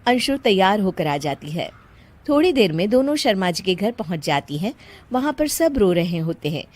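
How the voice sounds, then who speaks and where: A woman's voice: mid-range voice, very animated, normal speed, darkish tone. A woman narrating a scripted moral story as its storyteller.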